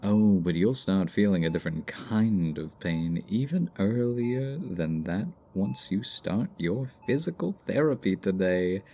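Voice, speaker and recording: A man's voice: deep voice, expressive, measured pace, few pauses, dark tone, exaggerated voice. A man acting a smug, flirtatious supervillain in a hospital ASMR roleplay, teasing and theatrically sarcastic. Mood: sardonic. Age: 20s.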